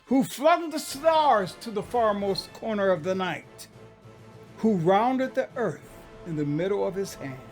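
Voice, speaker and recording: A man performing a dramatic poetry recitation, solemn and reverent, moving between hushed tones and booming declamation. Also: expressive, measured pace. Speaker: poet performing a dramatized poem. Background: music.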